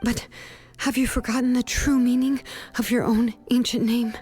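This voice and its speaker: An actress playing a resolute, compassionate young craftswoman in a video game, with a measured, earnest delivery. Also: a little high, little pitch movement, darkish tone, faint music behind, close to an everyday voice. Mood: solemn reproach. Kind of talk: dramatic video game voice acting.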